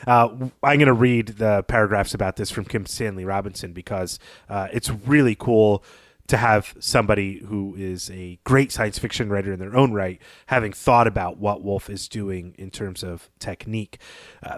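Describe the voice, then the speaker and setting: A man's voice: on the low side, no room sound. A man co-hosting a podcast, analysing literature in a thoughtful, informal conversational style. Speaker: podcast co-host.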